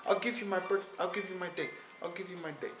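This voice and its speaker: Man, 20s, solo podcast host, rambling and repetitive; slightly high voice, roomy echo. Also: fairly even intonation, fast, over music. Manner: rambling, repeats words and restarts. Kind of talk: casual solo podcast monologue.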